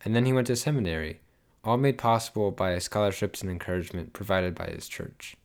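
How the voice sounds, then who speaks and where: A man telling a life story in scripted narration, with brisk summaries. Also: lowish voice.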